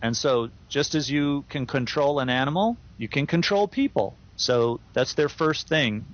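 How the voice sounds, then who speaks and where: A man's voice: mid-range voice, lively intonation, unhurried. A man co-hosting a podcast, giving firm opinions in a casual chat with fillers and restarts. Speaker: podcast co-host. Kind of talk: casual chat, reading listener email. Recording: dull lo-fi sound.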